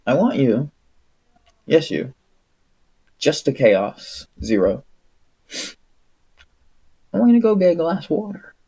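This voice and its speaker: A man streaming solo, reading aloud and chatting casually in an unhurried, rambling, wry manner. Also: on the high side, sing-song, mellow, husky. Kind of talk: audiobook-style reading and casual livestream chat.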